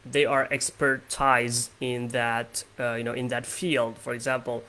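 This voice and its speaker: A man in his twenties from Indonesia, hosting English speaking practice in a relaxed tone with lots of fillers. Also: mid-range voice, a little slow. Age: 20s. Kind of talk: casual conversation practice.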